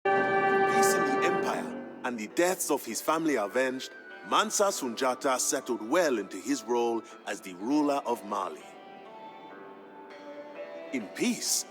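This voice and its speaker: An actor playing a proud royal griot, narrating a strategy game's campaign story in a grand, measured and emphatic manner. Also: male voice, mid-range voice, fairly bright tone. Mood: reverent, calm. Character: proud male griot, a king's humble family historian, solemn storyteller. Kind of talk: dramatic scripted narration for a strategy game campaign. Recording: music behind the voice. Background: background music.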